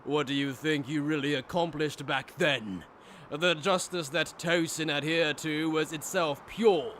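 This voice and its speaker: A man's voice: on the high side, unhurried, fairly bright tone, a little hiss or hum. A man playing a mocking, haughty villain in an anime fan dub, sly and theatrical. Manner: taunting, theatrical.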